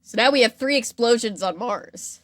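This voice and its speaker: A woman podcast host telling a story in a casual, animated and jokey way. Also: a little high, normal speed, slight background noise. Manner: animated, jokey, acts out quotes.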